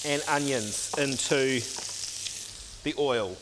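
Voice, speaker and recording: Chef explaining a recipe step by step. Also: male voice, medium pitch, slow pace, noisy background. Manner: steady step-by-step explaining while cooking. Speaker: cooking show chef. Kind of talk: cooking demonstration. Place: teaching kitchen with a stovetop.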